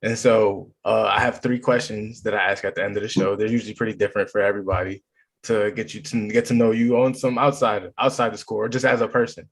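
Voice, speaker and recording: A man with a fairly low voice hosts an interview podcast. His questions are friendly but loose, and he often rephrases and corrects himself as he goes. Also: flat delivery, quick pace, vocal fry, poor audio. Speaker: podcast host.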